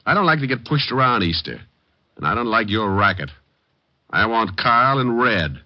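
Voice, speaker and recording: Low-voiced, deadpan tough private eye. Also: male voice, normal speed, no echo. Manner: deadpan, sardonic. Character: tough, wisecracking male private detective, coolly menacing. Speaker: actor playing detective and narrator. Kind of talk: old-time radio detective drama acting. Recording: muffled, dry vintage radio recording.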